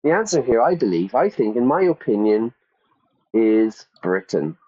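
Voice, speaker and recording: A man's voice: mid-range voice, sing-song, moderate pace, dark tone, dull audio. A man teaching English to learners, reading out quiz questions and repeating the answer options. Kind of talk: ESL quiz lesson.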